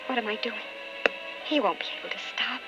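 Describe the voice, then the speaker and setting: An actress playing an anguished, calculating wife of an alcoholic in an old-time radio drama, with intense, melodramatic delivery. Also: female voice, a little high, a little fast, dull audio, loud music behind the voice, close to an everyday voice. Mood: anguished, resolved.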